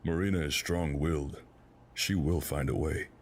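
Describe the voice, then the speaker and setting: A middle-aged man acting as a conscientious senior member of a secret crime organisation, measured, restrained and reasoning in a dramatic scene. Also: rumbling low. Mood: concerned. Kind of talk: dramatic film-style acting. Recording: clearly noisy background ambience.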